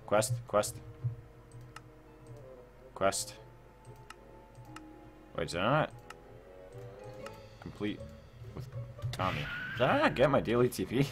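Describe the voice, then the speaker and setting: Male gamer host, lowish voice, excitable and repetitive, casual running commentary over music. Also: takes it slowly, pauses often. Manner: excitable, repetitive, thinking aloud. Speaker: gaming video host. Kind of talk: casual Minecraft let's play commentary. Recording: music behind the voice.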